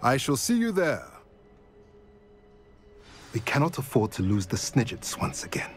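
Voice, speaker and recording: An actor voicing a wise, solemn centaur seer in a fantasy video game, speaking in measured, formal, oracular phrases. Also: male voice, medium pitch, huge pitch swings, faint music behind. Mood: assured, solemn. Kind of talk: scripted fantasy game dialogue.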